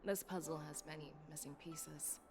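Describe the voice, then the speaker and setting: An actress voicing a battle-hardened, composed and frank general in a video game, grave and deliberate in her delivery. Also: sits low, fairly even intonation. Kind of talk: scripted video game voice acting. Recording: music under the voice.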